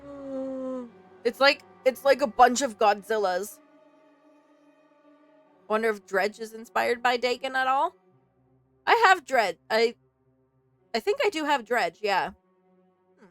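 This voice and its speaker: A woman's voice: fairly high pitch, animated, takes it slowly, pauses often, a bit bright. A woman hosting a let's play, casually commenting, reading aloud and reacting expressively to the game. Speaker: streamer, let's play host. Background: faint game music.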